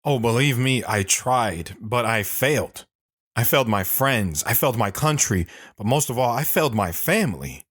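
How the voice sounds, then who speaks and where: An actor playing a bitter, haunted older army sergeant telling his story in a brooding, halting way for an audio drama. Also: medium pitch, expressive, fairly bright tone, dry recording, studio quality. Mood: anguished. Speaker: dramatic monologue narrator.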